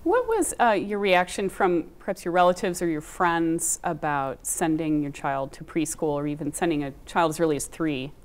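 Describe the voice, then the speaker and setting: A middle-aged woman hosting a studio panel, asking clear, polite questions. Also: low voice, expressive, normal speed, hardly any pauses. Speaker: host. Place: TV studio set. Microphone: lapel mic.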